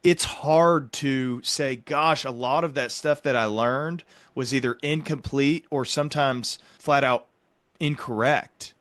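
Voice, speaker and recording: A man's voice: mid-range voice, slow, a bit bright, no room sound. Man explaining in a dry, close sound. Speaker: podcast host; psychiatric doctor. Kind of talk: conversational explaining.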